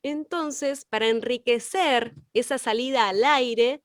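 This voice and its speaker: A woman with a high voice teaches in an informal class style. She explains through examples and rhetorical questions, and the sound is close and dry. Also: takes it slowly, no room sound. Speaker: radio workshop teacher.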